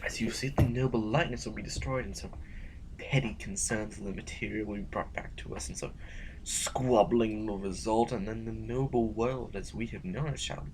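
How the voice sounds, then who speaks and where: A man's voice: on the low side, steady tone, moderate pace, darkish tone, lightly acted, rough recording. A man playing a polite, anxious courtly official in an audio drama, formal, hesitant and deferential. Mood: uneasy. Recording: background noise and ambience.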